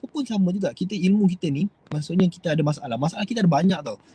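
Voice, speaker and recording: A man's voice: high-pitched, rapid-fire, warm tone, husky, no echo. A young Malaysian man with a Malaysian Malay accent, giving a rambling, hesitant motivational talk to his viewers. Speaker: host.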